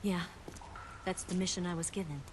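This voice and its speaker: A woman's voice: lowish voice, steady tone, normal speed, noisy background, close to an everyday voice. An actress voicing a confident, flirtatious female spy in a video game cutscene, teasing and breezy. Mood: briefing. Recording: faint music under the dialogue.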